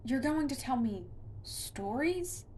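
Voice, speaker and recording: A woman's voice: sits high, lively intonation, takes it slowly, darkish tone, slight background noise, voice pushed up. A woman voicing an uneasy young boy. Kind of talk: storybook reading.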